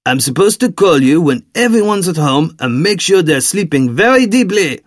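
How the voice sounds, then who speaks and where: A man's voice: a little high, very animated, normal speed, no room sound, clean studio sound, pitched above the performer's own voice. A man playing a troubled, hesitant middle-aged man in an audiobook, subdued and uneasy. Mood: broken, uneasy.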